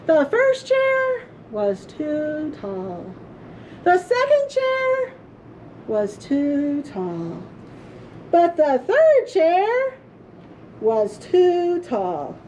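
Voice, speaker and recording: A woman storyteller reading a children's story aloud in a playful, theatrical way with drawn-out emphasis. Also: very high-pitched, wildly swooping pitch, darkish tone, slight background noise. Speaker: librarian storyteller. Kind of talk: expressive children's storybook reading for a puppet show.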